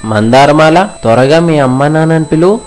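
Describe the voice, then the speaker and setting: A man's voice: fairly high pitch, fairly quick, darkish tone, faint music behind, close to an everyday voice. A man playing an earnest, kind and devoted young hero in a dramatic children's storybook tale. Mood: urgent.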